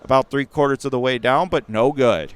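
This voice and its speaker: A man with a Western New York accent calling a high school basketball game live on radio, rattling off plays and rising to shouts on big moments. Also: clear, resonant tone. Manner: rapid calls that surge to excited shouts on big plays. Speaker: radio play-by-play announcer.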